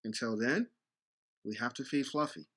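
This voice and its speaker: A woman's voice: extremely low pitch, moderate pace. A woman playing an exasperated, stern human father in an audio drama, scolding and emphatic. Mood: resigned.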